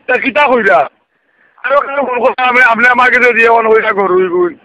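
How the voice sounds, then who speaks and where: A man's voice: very high voice, fast talker, bright, forceful tone, no echo. A man with a Sylheti accent arguing on the phone, protesting repeatedly in an exasperated way. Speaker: call recipient. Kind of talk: phone argument. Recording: muffled lo-fi phone call, dry.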